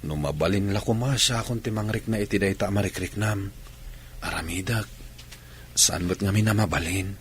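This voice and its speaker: A man playing a patient, doting young husband who coaxes gently, in an Ilocano radio drama. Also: on the low side, moderate pace, slight background noise, natural voice. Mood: patient, soothing.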